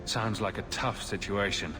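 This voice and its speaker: An actor playing a composed, sympathetic male adventurer in a video game, asking questions in a measured way. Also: on the low side, steady tone, natural voice. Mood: curious, sympathetic. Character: composed, helpful young male hero adventurer, sympathetic and resolute. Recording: music behind the voice. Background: game music.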